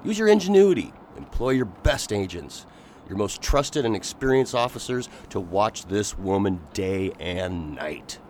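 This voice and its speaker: An actor playing an earnest, agitated Victorian gentleman in a radio drama, pleading and intense. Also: on the low side, very animated, unhurried, slight background noise.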